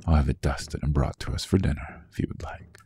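A man playing a suave, possessive vampire lord in a hypnotic ASMR roleplay, hushed and often whispering, with courtly old-fashioned diction. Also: fairly quick, dry recording, heavily acted. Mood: genteel, attentive. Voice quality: very deep, gravelly, husky.

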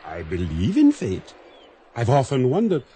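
A modest island provisioner in a radio drama, wary and questioning. His mid-pitched voice rises and falls dramatically. Faint noise sits behind the recording. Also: measured pace. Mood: easygoing. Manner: questioning, expressive. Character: modest, wary island provisioner, male, honest but temptable.

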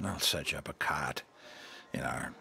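An actor playing a kind, gravelly-voiced man who offers shelter and help in a radio drama. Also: rumbling low, moderate pace, pushed and bright, heavily acted. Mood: kindly.

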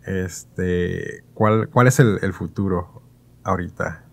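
A man, hosting a casual podcast with thoughtful, halting phrasing. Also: low-pitched, creaky. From Mexico. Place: small padded podcast studio. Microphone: studio mic on stand.

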